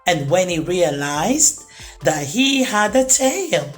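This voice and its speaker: Woman, children's read-aloud host, unhurried careful phrasing, animated swooping pitch, music underneath. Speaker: storytime host and narrator. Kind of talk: children's storybook read-aloud, rhyming narration.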